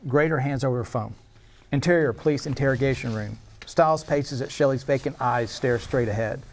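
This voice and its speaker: A man's voice: medium pitch, fairly quick. A man reading stage directions as narrator at a live screenplay table read, in a scripted narration style.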